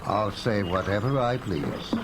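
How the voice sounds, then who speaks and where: A man's voice: fairly low pitch, sing-song, measured pace, creaky, background noise, heavily acted. An actor in a live radio drama playing a haughty, spiteful man with a sneering, pompous manner. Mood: smug, sneering. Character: haughty, spiteful man feuding with his brother and neighbors. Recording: live radio recording with music.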